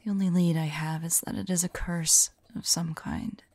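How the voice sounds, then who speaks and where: Woman acting a hushed, husky, heartbroken mage. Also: on the low side, steady tone, no room sound, lightly acted. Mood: weary, pained. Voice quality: soft, breathy, husky. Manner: hushed, halting, mournful, intimate. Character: anguished lovelorn woman mage recording journal entries about cursed lover. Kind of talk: slow dramatic monologue, ASMR audio roleplay.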